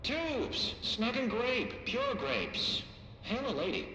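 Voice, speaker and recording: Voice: medium pitch, big pitch swings, slow pace, slight background noise, exaggerated voice. An actor voicing an eccentric, childlike creature that babbles disjointed nonsense exclamations in a video game. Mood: gleeful, babbling. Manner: disjointed word-salad exclamations. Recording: echoey long hall reverb, loud music.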